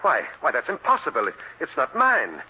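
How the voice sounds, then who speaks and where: An actor playing a fussy, indignant professor with a husky voice and stammering manner, in old radio drama style. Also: male voice, medium pitch, huge pitch swings, normal speed, lo-fi sound, full character voice. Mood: alarmed, indignant. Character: flustered, indignant scholarly male professor. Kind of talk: 1940s radio acting.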